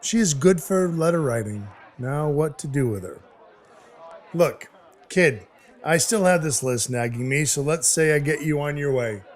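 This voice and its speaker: A man's voice: fairly high pitch, animated, a little slow. A man playing a brash, wisecracking dwarf who is Santa's helper, narrating and acting in an audio play with blunt, wry phrasing. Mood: exasperated. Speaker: narrator and lead character.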